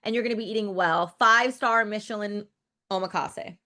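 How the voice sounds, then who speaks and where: A woman's voice: mid-range voice, brisk, pushed and bright. A woman in her thirties, a director guest in a casual interview, talking in a rambling, loose way, full of "like" and restarts, and swearing frankly.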